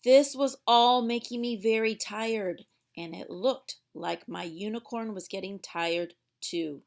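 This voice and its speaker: A woman's voice: medium pitch, slow pace, vocal fry. A woman reading a storybook aloud for young children in a theatrical way, drawing out words for emphasis.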